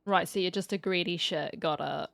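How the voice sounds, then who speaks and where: A woman's voice: on the low side, moderate pace, gravelly, a bit bright, faint music behind. A woman giving casual gaming commentary, reacting bluntly and sarcastically with plenty of slang. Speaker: gamer host reacting to a story quest.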